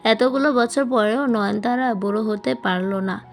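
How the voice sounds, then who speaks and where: A woman with a fairly high voice narrates a novel as a scripted audiobook. She reads literary prose as a storyteller, with music playing behind her. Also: measured pace. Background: background music.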